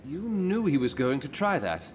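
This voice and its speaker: An actor playing a composed, dryly sharp inspector in a fantasy video game, commanding and wry in manner. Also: male voice, a little high, very animated, moderate pace, faint music behind. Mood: probing.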